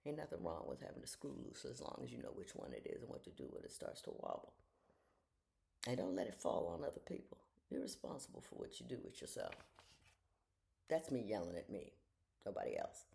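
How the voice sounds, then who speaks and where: A woman in her sixties with a gravelly edge to her voice, her pitch rising and falling freely as she talks. She speaks in a frank, folksy way and often slips into soft, half-whispered asides. She is at home in the early morning, leaning toward the camera. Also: sing-song, fairly quick, slight background noise. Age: 60s. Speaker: retired judge giving life advice. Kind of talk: casual reflective talk to viewers. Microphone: camera she leans toward.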